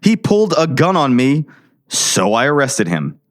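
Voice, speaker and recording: A man's voice: medium pitch, wildly swooping pitch, a little slow. A man playing a stubborn, suspicious small-town sheriff in a 1960s audio drama, curt, sarcastic and defiant.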